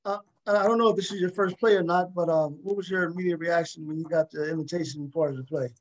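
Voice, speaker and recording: Higher-pitched man hosting a talk show. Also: fairly even intonation, low-quality recording. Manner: halting, repeats words. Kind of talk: casual conversational interview.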